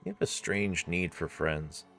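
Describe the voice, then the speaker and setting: Male let's player, deep voice, relaxed and wry, mixing narration with offhand reactions. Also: unhurried, faint music behind. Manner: laid-back, wry asides. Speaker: video game let's player. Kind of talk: casual commentary and read-aloud narration.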